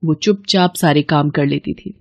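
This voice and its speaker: A woman's voice: low-pitched, normal speed, darkish tone. A woman reading scripted narration for a moral story as the storyteller.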